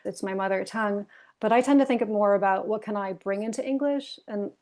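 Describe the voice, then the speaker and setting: Woman editor for a panel, medium pitch, animated and thoughtful, speaking over a video call. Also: a little fast. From Tunisia, raised in the United States. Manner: thoughtful, animated, talks through ideas with restarts. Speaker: managing editor and literary translator. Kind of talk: roundtable discussion.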